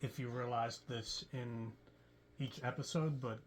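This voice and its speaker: A man who created an audio drama, talking casually with his cast over a video call, halting with fillers and restarts. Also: medium pitch, steady tone, a little hiss or hum. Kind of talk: casual group interview.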